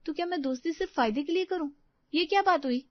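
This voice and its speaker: Young female student, voiced by a woman, very high pitch, indignant, pleading, dry, story dialogue. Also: fast, no room sound, dull audio. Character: loyal, protesting young female college student daughter.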